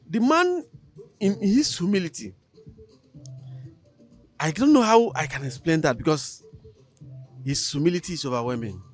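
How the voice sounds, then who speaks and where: A man, speaking emphatically and animatedly, with occasional hesitations. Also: sits high. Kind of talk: animated discussion.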